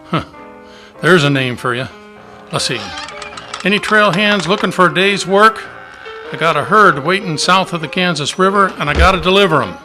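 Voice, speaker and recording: A man's voice: sits high, moderate pace, music in the background, natural voice. A man playing a plainspoken, folksy cattle boss seeking hands in an amateur radio Western. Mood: musing. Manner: folksy, clipped cowboy talk. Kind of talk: amateur old-time radio Western acting.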